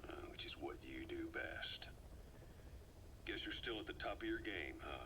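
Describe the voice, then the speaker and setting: A man's voice: a bass voice, lively intonation, moderate pace, noisy background. An actor playing a wary, reluctantly loyal male secret agent in a video game, terse and questioning. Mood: guarded, questioning. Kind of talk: video game voice acting, recorded-message drama.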